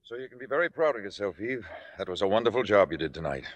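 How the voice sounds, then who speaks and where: Frank, encouraging director, low voice with lively swings, old radio play in an echoing hall. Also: male voice, fast talker. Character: frank, encouraging male stage director, gruffly bemused. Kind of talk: 1950s radio drama acting.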